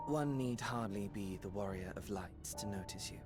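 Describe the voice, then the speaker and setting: Man playing a slow, dry, stern companion. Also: on the low side, natural voice. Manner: dry, curt, weary. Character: stern, exasperated companion scolding dryly. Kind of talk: scripted video game cutscene acting.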